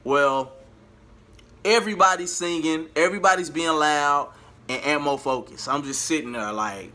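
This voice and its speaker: A male vlogger recounts things in a casual, slangy way. His voice sits a little high and has a bright, punchy edge. He talks straight to his viewers and acts out bits of dialogue as he goes. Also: moderate pace. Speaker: vlogger and amateur singer.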